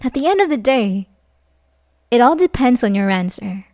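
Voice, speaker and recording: A woman playing an obsessive, manipulative young babysitter in love in an ASMR roleplay, halting and pleading with soft menace. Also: on the high side, very animated. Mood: coldly menacing. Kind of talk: ASMR roleplay audio drama, addressing the listener.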